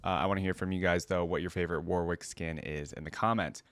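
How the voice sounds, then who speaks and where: Male reaction host, lowish pitch, conversational off-the-cuff commentary, thinks aloud, very dry recording. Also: steady tone, fast talker, a bit bright, no echo.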